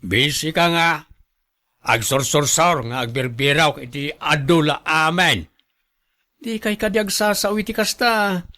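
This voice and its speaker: A man playing a stern, weary older father in a radio drama, admonishing in drawn-out phrases. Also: a little high, sharp tone. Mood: scolding. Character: stern, weary old father scolding his idle son. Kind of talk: Ilocano radio drama acting.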